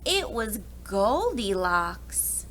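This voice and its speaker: A woman reading a storybook aloud to young children in an expressive way, pausing to explain things to them. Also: medium pitch, slight background noise. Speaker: preschool read-aloud teacher.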